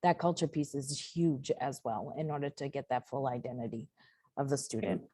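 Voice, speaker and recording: Low-voiced woman, portuguese first language, lots of ums, earnest webinar talk, dry close sound. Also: dark tone, slightly rough, no echo. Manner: earnest, hesitant, with many pauses and fillers. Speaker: webinar presenter. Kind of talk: personal story in an online webinar.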